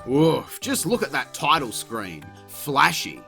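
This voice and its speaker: A man's voice: medium pitch, huge pitch swings, takes it slowly, gravelly, over music. A man giving comedic, partly scripted video review commentary in an exclamatory, sarcastic, crude way. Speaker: video game reviewer, host.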